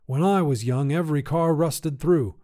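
A man's voice: a little high, moderate pace, warm tone, voice pushed up, close to an everyday voice. A man voicing a cantankerous old grandfather, a folksy tinkerer who grumbles emphatically in a story reading. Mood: nostalgic grumbling. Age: older. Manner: folksy, grumbling, emphatic, rural turns of phrase. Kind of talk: acted character dialogue in short fiction reading.

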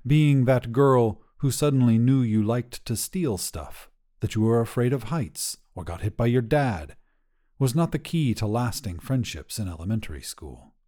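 A man narrating scripted literary fiction in a measured, dramatic storytelling manner. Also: medium pitch, animated, dark tone. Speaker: narrator reading short fiction.

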